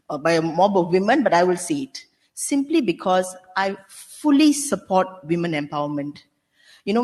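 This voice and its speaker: A woman's voice: medium pitch, in a large hall. A middle-aged woman from Malaysia speaking candidly and emphatically on a conference panel, with frequent restarts and "you know". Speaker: panelist, senior legal counsel. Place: conference hall.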